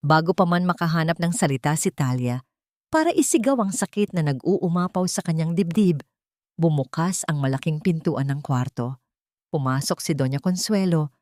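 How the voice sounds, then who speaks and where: Low-voiced woman narrating a drama. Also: darkish tone, dry recording, clean studio sound. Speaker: audiobook narrator. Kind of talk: scripted dramatic story narration.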